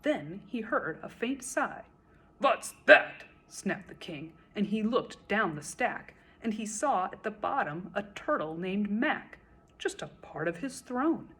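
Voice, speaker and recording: A woman reciting a children's story in verse as a storyteller, with expressive, dramatic and deliberate phrasing. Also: medium pitch, husky.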